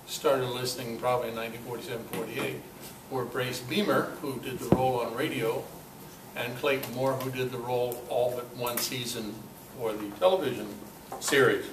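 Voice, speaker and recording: Man in his seventies, informal club speaker, halting delivery, medium pitch, distant echoing recording. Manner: halting, searching for words. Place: club meeting room. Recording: echoey meeting room, distant mic, noisy.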